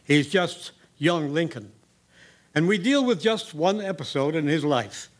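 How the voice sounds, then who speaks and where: An actor playing a distinguished, courteous 1940s radio theater host, speaking in a formal, measured, earnest way. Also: male voice, on the high side.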